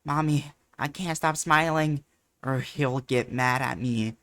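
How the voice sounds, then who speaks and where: A man voicing a little girl calling to her mother in a high, whiny, childish voice. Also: slow pace, fairly bright tone. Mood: scared.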